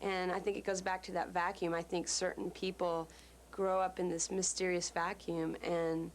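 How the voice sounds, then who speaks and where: Flat, low-voiced reflective woman. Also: moderate pace, a little hiss or hum. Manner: thoughtful, searching phrasing. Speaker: musician interviewee. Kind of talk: reflective interview talk.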